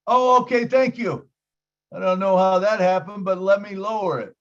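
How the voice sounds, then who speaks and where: A man's voice: sits high, moderate pace. A man hosting an online video call meeting, talking casually with plenty of uh and you know. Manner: relaxed, filler-heavy, hedging. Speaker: meeting host. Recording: dull lo-fi video call audio.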